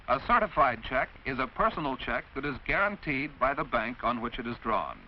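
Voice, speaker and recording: American man narrating a crackly vintage educational film. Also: mid-range voice. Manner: didactic, measured, clearly enunciated explanation. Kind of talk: mid-century educational film narration, scripted. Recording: dull, noisy vintage film soundtrack.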